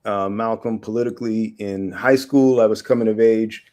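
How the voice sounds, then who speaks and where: Halting historian from Guyana, low voice. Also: male voice, fairly even intonation, a little mellow. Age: 40s. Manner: halting, many fillers and restarts. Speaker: guest historian. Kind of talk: conversational interview answers.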